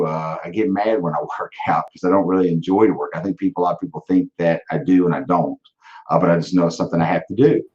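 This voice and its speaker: A man's voice: low-pitched, darkish tone, muffled recording. A man in his forties giving casual interview answers in a blunt, driven way, often repeating words as he restarts. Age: 40s. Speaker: auto dealership leader, guest.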